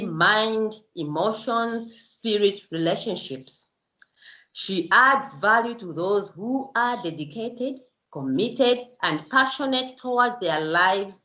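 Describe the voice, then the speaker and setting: A woman's voice: mid-range voice, fairly bright tone, echoey room. A woman hosting her own show, chatting in a casual interview with effusive, gushing praise. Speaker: YouTube show host.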